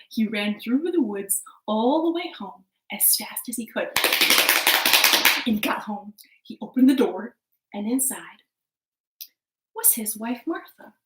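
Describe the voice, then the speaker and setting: A woman telling a children's story in an animated, dramatic way. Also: fairly high pitch. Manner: dramatic, sometimes dropping to a hushed near-whisper. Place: living room at home. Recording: echoey living room.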